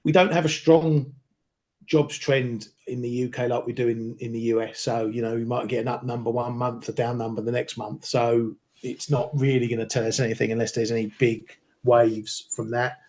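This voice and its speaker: A man's voice: mid-range voice, little pitch movement, moderate pace, a little mellow. Hesitant man discussing markets. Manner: hesitant, many fillers and restarts. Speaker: market analyst.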